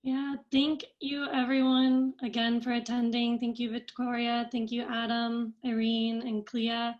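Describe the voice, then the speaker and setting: A woman's voice: fairly high pitch, level tone, unhurried, dull audio. A woman hosting an online arts event, giving informal announcements and thanks. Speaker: event host for an arts organization.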